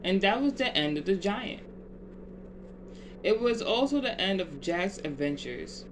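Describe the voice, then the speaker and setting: A storyteller reading a children's bedtime tale aloud in deliberate, measured phrases. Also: mid-range voice. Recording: clear steady background noise.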